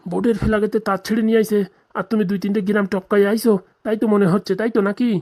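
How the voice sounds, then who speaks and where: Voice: medium pitch, little pitch movement, rapid-fire, a little mellow, close to an everyday voice. A performer voicing a sly village man out to scrounge money in a comedy cartoon, nosy, gossipy and full of swearing.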